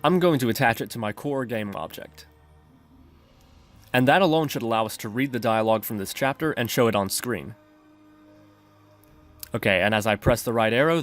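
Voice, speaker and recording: A man narrating a programming tutorial in a methodical, instructional way. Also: mid-range voice.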